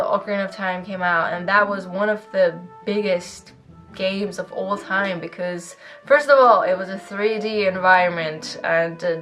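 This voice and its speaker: Candid woman vlogging. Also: lowish voice. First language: Swedish. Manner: rambling, candid, laughs between phrases. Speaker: YouTuber. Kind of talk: casual vlog monologue.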